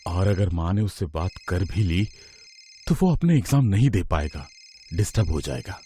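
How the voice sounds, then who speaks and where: A man playing a practical middle-aged father in a moral story, speaking in a measured, firm way. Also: sits low, animated, dark tone, slight background noise. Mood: calm, concerned.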